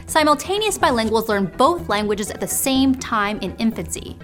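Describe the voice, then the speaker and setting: A woman hosting a science show, narrating in a scripted, explanatory way. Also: a little high. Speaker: host.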